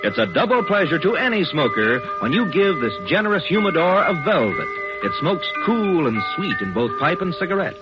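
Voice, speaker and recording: A man announcing sponsor commercials on 1940s radio in an animated sales pitch. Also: moderate pace. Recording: dull old radio recording with music.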